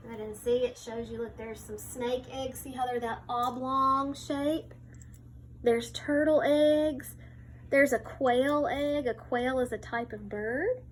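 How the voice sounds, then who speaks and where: High-voiced woman reading to children. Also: slight background noise, poor audio. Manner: child-directed, explains simply, points things out. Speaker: teacher reading aloud to young children. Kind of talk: picture book read-aloud with explanatory asides.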